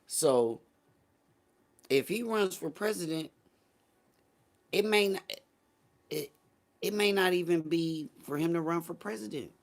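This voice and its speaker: A man's voice: fairly high pitch, a little slow, long pauses. A man hosting a livestream, giving casual political commentary to his chat in slangy, profane, conversational talk and repeating phrases for emphasis. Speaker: livestream host.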